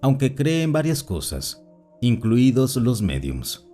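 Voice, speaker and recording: A man calmly narrating written personal accounts as a scripted story reading. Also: medium pitch, slow. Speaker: narrator reading listener stories. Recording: voice-over with faint background music.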